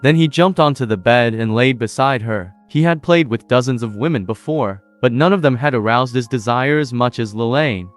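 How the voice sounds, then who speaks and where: A man reading scripted narration for an animated story in a steady, even storytelling manner. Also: mid-range voice, moderate pace, few pauses. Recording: dry voice-over with faint music.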